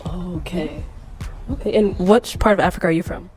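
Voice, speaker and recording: A woman's voice: fairly low pitch, moderate pace, darkish tone, soft background music. A woman hosting a dating show, asking contestants probing questions with amusement.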